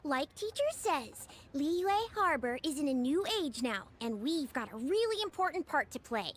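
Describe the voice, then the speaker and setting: Excitable, high-pitched little kid. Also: female voice, moderate pace, a little hiss or hum. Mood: earnest. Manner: childish, enthusiastic. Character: excitable, cheerful young schoolchildren. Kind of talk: video game character dialogue.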